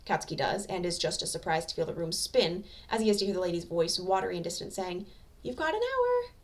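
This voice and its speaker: A woman reading a story aloud as an amateur narrator, with expressive scripted delivery. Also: fairly low pitch, quick pace, recorded from a distance.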